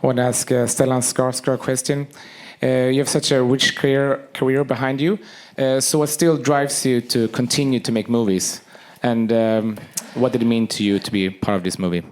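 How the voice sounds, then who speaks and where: A man's voice: mid-range voice, steady tone, moderate pace. A man from Sweden, a journalist, asking a question hesitantly with many fillers.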